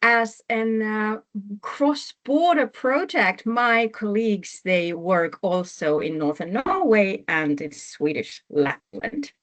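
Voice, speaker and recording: A woman's voice: mid-range voice, animated, slow. A woman from Finland, a project manager, opening and hosting an online webinar, speaking unhurriedly with frequent fillers. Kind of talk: webinar introduction.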